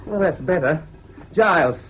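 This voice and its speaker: An actor playing a bullying, contemptuous school prefect with an English public-school accent, barking orders in a radio drama. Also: male voice, sits high, lively intonation, unhurried, muffled recording. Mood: scornful, commanding. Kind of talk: 1970s BBC radio drama acting. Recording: faint hiss of old radio broadcast.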